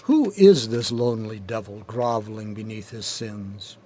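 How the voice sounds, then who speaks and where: A man reading his own poetry aloud in a deliberate, rhythmic, dramatic spoken-word style, recorded in a studio. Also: on the low side, lively intonation, warm tone. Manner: deliberate, measured, rhythmic delivery with pauses. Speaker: poet reading own work.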